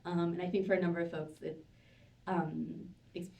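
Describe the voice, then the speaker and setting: Soft-spoken woman in an echoey hall. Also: fairly low pitch, little pitch movement. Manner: warm, halting, many fillers and restarts. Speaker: panel guest. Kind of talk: casual live panel sharing. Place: synagogue hall, live audience. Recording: echoey room, distant mic.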